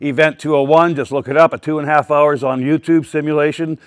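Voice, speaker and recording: A man addressing a live town hall audience with a loud, emphatic voice, rambling and often restarting his sentences. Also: a little high, steady tone, fast talker. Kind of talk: public speech to a live audience. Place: public meeting with a live audience.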